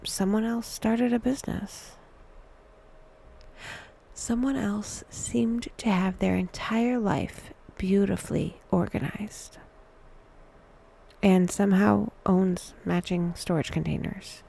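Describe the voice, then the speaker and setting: Very slow, hushed, breathy woman guiding meditation. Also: medium pitch, fairly even intonation. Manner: hushed, breathy, often near a whisper; wry, dry humor. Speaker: guided meditation host. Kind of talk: scripted guided meditation narration.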